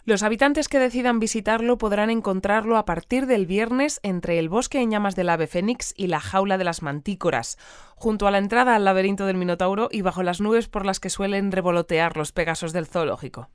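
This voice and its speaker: Audio fiction: actress as poised female news presenter, crisp clear voice, dry close studio sound, deadpan. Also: mid-range voice, dry recording, natural voice. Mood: composed deadpan. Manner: deadpan, measured, polite. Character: composed, deadpan female community radio newsreader. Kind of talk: scripted radio news narration.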